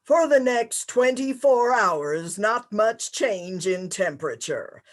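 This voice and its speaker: A performer playing a formal radio network announcer in a reading of a 1930s radio play, with deliberate, scripted delivery. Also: mid-range voice, expressive. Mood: formal, composed.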